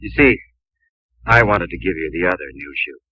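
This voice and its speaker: A man's voice: sits low, animated, normal speed, slightly rough, no echo, lo-fi sound. An actor playing a friendly young shoe-store man, playful and confident, in a 1950s radio drama. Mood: playful, wooing. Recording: old 1950s radio broadcast, faint music.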